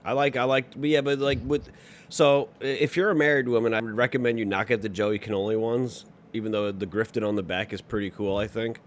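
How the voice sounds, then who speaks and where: A man's voice: lowish voice, fairly even intonation, a little fast. A man hosting a livestream, chatting casually with co-hosts and viewers in a crude, sweary, bantering manner. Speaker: livestream host.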